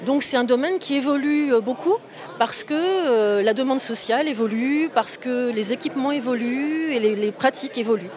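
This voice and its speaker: High-voiced woman in an interview. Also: normal speed, darkish tone, a faint crowd. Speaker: training body representative being interviewed. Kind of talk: unscripted interview answer.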